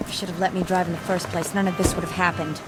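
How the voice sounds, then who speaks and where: An actress playing a bitter, scornful American wife in a radio drama, sarcastic, biting and commanding. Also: female voice, lowish voice, flat delivery, fast, over loud music, natural voice, low-quality recording. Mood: impatient.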